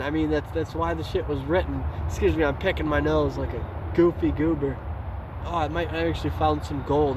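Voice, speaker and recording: Rambling, higher-voiced man podcasting amid noise. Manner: profane, digressive, self-interrupting, repeats words. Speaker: podcast host. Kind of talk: rambling solo podcast monologue.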